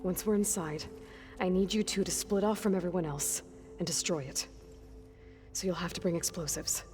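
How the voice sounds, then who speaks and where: A woman's voice: fairly low pitch, normal speed, darkish tone, big-room sound, faint music behind, lightly acted. A woman playing a determined young warrior leader in a game cutscene, earnest and commanding. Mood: serious, urgent. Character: determined young female warrior leading a rescue mission. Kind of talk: video game cutscene acting.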